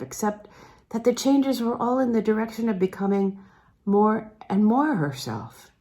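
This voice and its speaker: A woman narrating literary fiction aloud as an audiobook reader, measured and expressive, letting phrase endings fall away softly. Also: mid-range voice. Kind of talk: scripted literary narration, reading a novel aloud.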